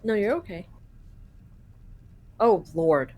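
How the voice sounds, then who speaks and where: A woman's voice: medium pitch, lively intonation, slow pace, highs cut off. A young woman from Canada, talking casually and swearing freely as she translates game text aloud for a friend over a call. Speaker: live translator for a friend's playthrough.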